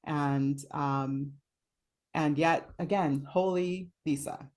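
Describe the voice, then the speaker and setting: A woman's voice: low-pitched, steady tone, slow pace, a little mellow. Effusive woman host, meandering and conversational. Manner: effusive, rambling, meandering phrasing. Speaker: summit host, interviewer. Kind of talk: casual conversational interview.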